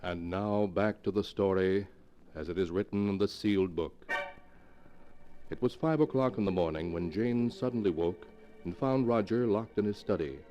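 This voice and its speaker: A man narrating a 1940s radio horror series as announcer, dramatic and measured. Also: sits low, dark tone. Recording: dull vintage radio recording with music.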